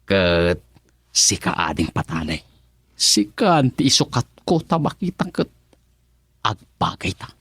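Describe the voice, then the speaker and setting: An actor with an Ilocano accent playing a flirtatious, sheepish village farmer in a comic radio drama, sweet-talking and stammering when flustered. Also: male voice, deep voice, sing-song, slow, pauses often, fairly bright tone, slightly rough, dry recording, studio quality, exaggerated voice. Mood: playful boasting. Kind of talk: Filipino radio comedy drama acting.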